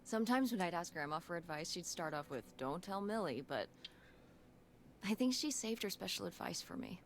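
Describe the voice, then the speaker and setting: An actress playing a wry, curious teenage daughter in a naturalistic video game style. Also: female voice, on the low side, expressive, moderate pace, a little hiss or hum. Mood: fond, reminiscing.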